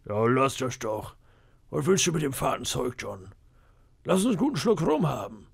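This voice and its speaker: A man voicing a blunt, rough pirate sailor in an audiobook, gruff in manner. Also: mid-range voice, big pitch swings, moderate pace, heavily acted. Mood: coaxing. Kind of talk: audiobook character voice.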